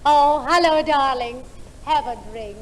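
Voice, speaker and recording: A woman's voice: high-pitched, a little slow. A woman playing a glamorous, vain, flirtatious film star in a stage comedy sketch, speaking in a theatrical, grandly teasing way. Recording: noisy 1950s theatre recording, long hall reverb.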